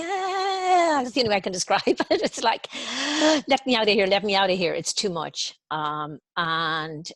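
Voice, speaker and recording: A woman's voice: lowish voice, dry recording. A woman from Ireland, talking casually and hesitantly as a guest practitioner. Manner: hesitant, searching for words, animated when describing overwhelm. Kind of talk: casual conversation in a tapping session.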